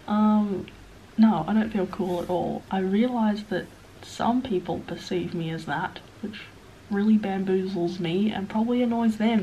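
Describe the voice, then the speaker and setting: A young Australian woman in her twenties chats to camera with a blocked-up, nasal voice from a cold. She rambles in a self-deprecating way and sometimes drops to a hushed whisper. A faint hiss sits under the recording. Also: mid-range voice, lo-fi sound. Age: 20s. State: sniffly, stuffed-up nose. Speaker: vlogger. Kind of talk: casual Q&A chat to camera.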